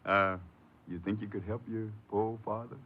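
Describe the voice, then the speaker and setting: A man's voice: on the low side, fairly even intonation, normal speed, natural voice. An actor playing a pious, wheedling old father in a 1950s American radio drama, folksy and cajoling. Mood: proud, pious. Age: older. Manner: folksy, scripture-quoting, cajoling. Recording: muffled old radio recording.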